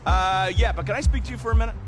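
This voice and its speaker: A man's voice: high voice, racing, over music, natural voice. An actor playing a frustrated nightclub doorman who dreams of fame, pleading in casual street slang. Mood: eager, pleading. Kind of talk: casual street conversation in a game scene.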